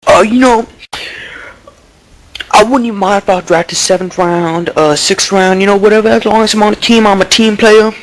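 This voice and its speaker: A man playing a dim young baseball prospect, slangy, rambling, cocky, fairly high voice. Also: steady tone, normal speed, lightly acted, rough recording. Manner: rambling, stalling with fillers, slangy. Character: dim-witted young male baseball prospect, deli-obsessed, Bronx swagger. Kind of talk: improvised mock interview.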